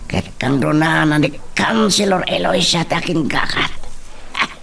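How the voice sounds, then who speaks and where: A man's voice: a little high, unhurried, vocal fry, sharp tone, a little hiss or hum, exaggerated voice. A man with an Ilocano accent playing a chatty, deferential aide to a rich madam in an audio drama. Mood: gossipy.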